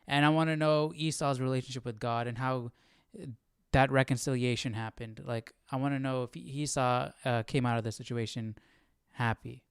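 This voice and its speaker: A man's voice: mid-range voice, clear, resonant tone, no echo. Man, casual live commentary, questioning study host, clean resonant voice, close dry sound. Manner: questioning, thinking aloud, often ending on "right?".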